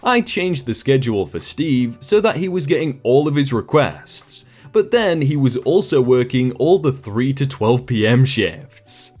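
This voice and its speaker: A man narrates online stories with a lively, swinging delivery, reading each post like a told tale. His pitch sits in a middle range but moves around a lot. Soft music plays faintly underneath. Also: measured pace, few pauses. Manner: lively storytelling reading with short comments between stories. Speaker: narrator reading reddit posts. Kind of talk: scripted story narration.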